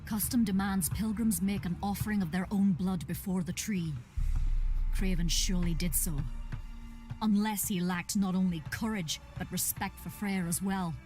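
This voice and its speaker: A woman's voice: mid-range voice, steady tone, moderate pace, darkish tone, soft background music, natural voice. A woman playing a stern priestess in a fantasy game, formal and grave. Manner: formal, solemn. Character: stern, grave priestess recounting sacred lore. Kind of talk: fantasy video game acting.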